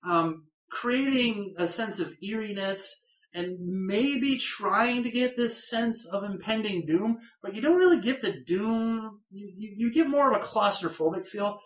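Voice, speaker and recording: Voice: medium pitch, measured pace, a bit bright, roomy sound. A horror film reviewer talking casually, rambling, with many fillers and repeated false starts.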